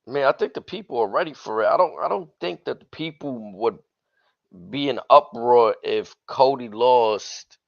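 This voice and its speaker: A man co-hosting a casual wrestling talk stream, his voice a bit hoarse and raspy from yelling, with relaxed slangy banter. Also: medium pitch, moderate pace, dry recording. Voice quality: slightly raspy. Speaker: wrestling show co-host. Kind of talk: casual livestream chat. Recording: dull dry lo-fi stream audio.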